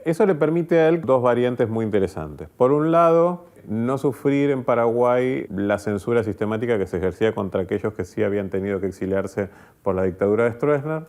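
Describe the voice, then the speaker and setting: A man's voice: mid-range voice, expressive, a little fast, darkish tone. Articulate man giving analytical interview commentary.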